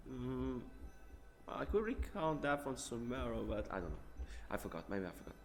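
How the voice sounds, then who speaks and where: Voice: medium pitch, sing-song, measured pace, darkish tone, big-room sound. A game streamer giving let's-play commentary, rambling, laughing often and trailing off mid-thought.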